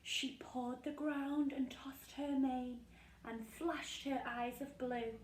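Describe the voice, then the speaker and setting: Female storyteller for young children, high, slow, sing-song rhyme; echoey, set back from the mic. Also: flat delivery, a little hiss or hum. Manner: sing-song, dramatic pauses. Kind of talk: expressive rhyming picture-book read-aloud. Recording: echoey room, distant from mic.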